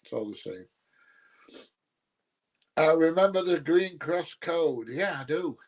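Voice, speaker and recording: Bloke from nottinghamshire, sounds a bit yorkshire, just chatting on a livestream, blunt and dryly grumbly. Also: male voice, fairly high pitch, lively intonation, takes it slowly, darkish tone. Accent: Nottinghamshire, Yorkshire-sounding English. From Nottinghamshire, England. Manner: blunt, colloquial, grumbling dry humour. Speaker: livestream host. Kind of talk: casual chat answering live viewer comments.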